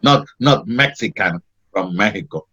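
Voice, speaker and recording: A man's voice: fairly low pitch, animated, a little slow, sharp tone, slightly rough, exaggerated voice. An actor with a Puerto Rican accent playing an indignant, sarcastic Puerto Rican man in a play reading. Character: Puerto Rican man raised in New England, indignant, fussy. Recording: dull lo-fi audio.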